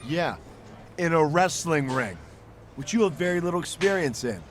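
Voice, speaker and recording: A man's voice: a little high, expressive, moderate pace, slight background noise, close to an everyday voice. A man playing an arrogant star wrestler in a video game cutscene, condescending and scornful. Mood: scornful, threatening. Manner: cocky, condescending.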